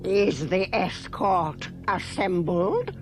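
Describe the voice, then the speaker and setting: Haughty old android witch-queen: slow, menacing, wildly swooping delivery over music, puppet sci-fi villainy. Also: fairly low pitch, big pitch swings, heavily acted. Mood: imperious. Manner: theatrical, menacing, cackling. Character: villainous old female android tyrant, cackling, haughty and theatrical. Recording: music behind the dialogue.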